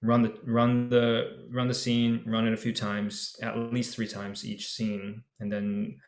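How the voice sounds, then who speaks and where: A man's voice: medium pitch, slow. Chatty man in an echoey room. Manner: loose and animated, full of fillers and restarts. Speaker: radio play actor. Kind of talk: casual panel discussion. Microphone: home setup over video call.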